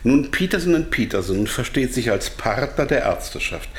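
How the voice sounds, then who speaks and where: A man's voice: mid-range voice, very animated, normal speed, echoey room, natural voice. An actor playing an evasive captive pharmaceutical salesman in a German radio drama. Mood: matter-of-fact, evasive.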